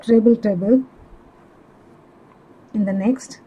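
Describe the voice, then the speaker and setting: A woman giving step-by-step craft instructions in a measured, halting manner, as a tutorial instructor. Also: a little high, dark tone, slightly rough, poor audio. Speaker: crochet instructor.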